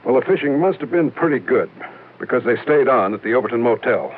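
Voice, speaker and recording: A man playing an earnest American insurance man who sounds worried and distrustful. His mid-pitched voice carries confiding, slightly hesitant radio-drama dialogue. The recording is narrow and tinny, like an old 1950s broadcast. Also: lively intonation, brisk, a little hiss or hum, lightly acted. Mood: concerned, businesslike. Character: worried, suspicious male insurance office manager. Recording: thin band-limited old radio broadcast.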